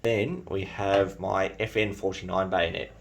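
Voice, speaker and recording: Chatty Australian-accented man, lowish voice. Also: steady tone, unhurried, creaky, a little hiss or hum. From New Zealand. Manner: enthusiastic, chatty, frequent fillers. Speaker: collector showing his collection. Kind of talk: casual show-and-tell vlog.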